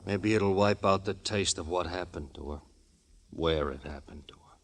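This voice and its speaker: Low-voiced, taunting gangster. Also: male voice, normal speed. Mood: bitter. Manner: taunting, smug tough-guy talk. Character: cocky, menacing gangster devoted to his mother. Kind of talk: old-time radio crime drama acting. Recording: old radio broadcast.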